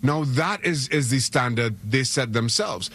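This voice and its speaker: A man's voice: mid-range voice, measured pace, a bit bright. A middle-aged man from Trinidad and Tobago, a soccer analyst on sports radio, speaking earnestly and often repeating words as he gathers his thoughts. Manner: earnest, frequent stammered repeats.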